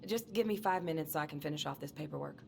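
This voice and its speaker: A breezy small-town cop with a lowish voice. She is chatty and joking, in a natural game-drama style, over some background noise. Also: fast, gravelly. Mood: breezy, affectionate. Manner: colloquial, joking. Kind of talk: naturalistic video game voice acting.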